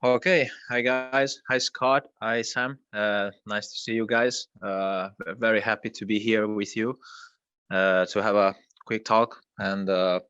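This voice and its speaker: A man whose first language is Italian, a project co-founder speaking in an online interview, pausing often with fillers and restarts. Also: on the low side, steady tone, fairly bright tone, smooth, dry recording, highs cut off. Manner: hesitant, many fillers and restarts. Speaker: crypto project co-founder guest.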